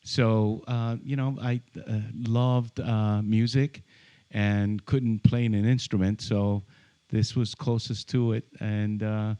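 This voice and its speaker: Friendly man with halting speech. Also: lowish voice, fairly even intonation, dark tone, clean tone. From Iran. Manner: friendly, hesitant, many fillers. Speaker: podcast guest, realtor. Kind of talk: casual interview conversation. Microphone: studio microphone with headphones.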